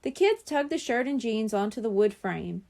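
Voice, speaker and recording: A woman reads a children's story as an audiobook narrator. Her voice is high-pitched, and her expressive delivery rises and falls a lot. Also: moderate pace, creaky. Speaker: audiobook reader.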